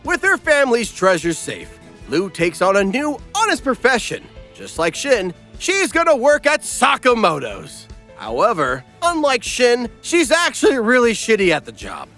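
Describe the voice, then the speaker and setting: A man's voice: extremely high pitch, wildly swooping pitch, normal speed, pushed and bright, soft background music. A man delivering flippant, irreverent comedic scripted recap narration.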